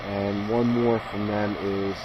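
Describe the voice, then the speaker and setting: Slow-talking man over clear background noise. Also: on the low side, level tone, highs cut off. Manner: halting, unpolished, informal. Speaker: shortwave radio hobbyist and video host. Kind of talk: casual narration of radio tuning.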